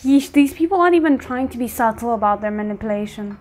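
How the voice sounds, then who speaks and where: A woman's voice: on the high side, expressive, quick pace, a little mellow, slight background noise. A woman giving casual, off-the-cuff reaction commentary as she watches a show, with muttered wry asides. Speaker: reaction video host.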